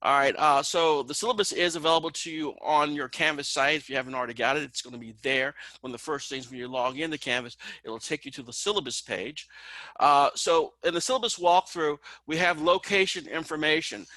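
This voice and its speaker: A man's voice: on the high side, brisk, no room sound, rough recording. A man teaching a college course online, calling the roll and walking through the syllabus with frequent fillers. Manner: relaxed, many "uh" fillers, calling out names. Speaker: college instructor. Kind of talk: online class intro and syllabus walkthrough. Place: online video class.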